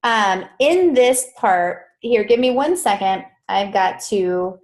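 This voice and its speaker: A woman's voice: mid-range voice, expressive, slow. A woman coaching students in a live online grammar lesson. She is chatty, often stops to correct herself and laughs at small mishaps. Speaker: Spanish interpreting coach.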